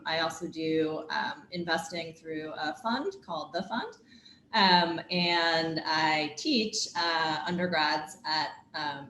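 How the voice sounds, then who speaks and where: A woman introducing herself on an online panel, speaking in a measured way with fillers. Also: on the low side, a bit bright, roomy sound, slight background noise. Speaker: managing director, panelist. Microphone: video call.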